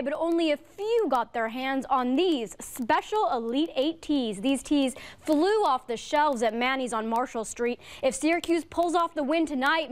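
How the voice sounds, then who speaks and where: An American woman presents the local news as anchor. Her voice sits high, and her delivery is that of scripted broadcast news.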